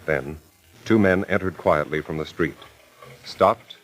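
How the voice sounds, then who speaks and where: A solemn crime show narrator sets the scene in a deep voice. The delivery is measured and dramatic, in 1940s radio style. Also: male voice, low-quality recording. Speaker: announcer and narrator.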